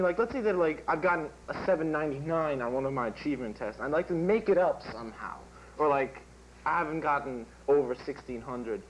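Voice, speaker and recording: A man's voice: on the high side, a little hiss or hum, low-quality recording. A teenage boy interviewing a guest in a school TV sketch, rambling with lots of "um" and "like". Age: teens. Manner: rambling, full of "like" and "um". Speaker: student interviewer.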